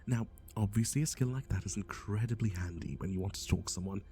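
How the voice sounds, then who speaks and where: A man as a low-voiced, flippant, rambling matchmaker. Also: fairly quick, mellow, faint music behind, natural voice. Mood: blasé, darkly comic. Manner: rambling, offhand, muttering asides. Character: flippant, scatterbrained male matchmaking agency boss peddling dangerous suitors. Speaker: voice actor. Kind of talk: ASMR roleplay, scripted monologue to the listener.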